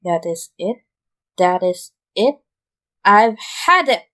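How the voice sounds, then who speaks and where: A woman's voice: medium pitch, expressive, slow pace, a bit bright. A woman voicing a weary, long-suffering young male boss who hands out advice in a fan fiction reading. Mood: fed up.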